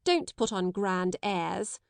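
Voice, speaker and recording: A woman's voice: mid-range voice, lively intonation, takes it slowly, gravelly, smooth, no echo. A woman voicing a stern, domineering middle-aged schoolmistress in an audiobook, cold and scolding, in a dry recording. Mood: harsh. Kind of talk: audiobook character voice.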